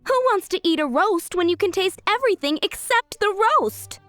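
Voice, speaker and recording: A woman's voice: very high voice, expressive, normal speed, a bit bright, no room sound, soft background music. A woman voicing a proud, blunt, competitive young woman chef in a video game, assertive and emphatic. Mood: critical, indignant. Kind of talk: animated game voice acting.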